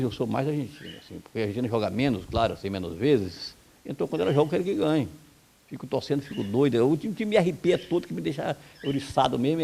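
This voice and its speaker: A man's voice: medium pitch, gravelly, dark tone, breathy edge. A man from Brazil, a football coach, giving blunt, heated opinions in an interview. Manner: blunt, heated, rapid-fire complaints.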